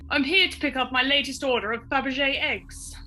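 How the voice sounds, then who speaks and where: Comic radio Tsar, female performer: pompous, genial, gullible, forceful bright delivery. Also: high-pitched, brisk, a little hiss or hum. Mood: jovial. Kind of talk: comic radio drama acting.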